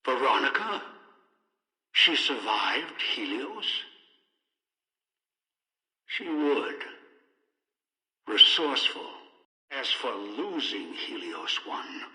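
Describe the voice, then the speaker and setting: Game villain: elderly, manipulative, menacing man, piercing forceful tone, deliberate lecturing delivery, echoing radio-like sound. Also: medium pitch, full character voice. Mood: resentful, determined. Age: older. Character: cold, obsessive, manipulative old man ruling by threats. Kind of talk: video game character dialogue. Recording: echoey hall reverb, radio-like.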